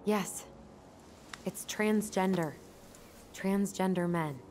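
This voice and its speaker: An actress playing a composed, tactful young woman in a video game, gently firm and measured in how she talks. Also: mid-range voice, slight background noise, close to an everyday voice. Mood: exasperated, correcting. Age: young adult. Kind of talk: naturalistic video game acting.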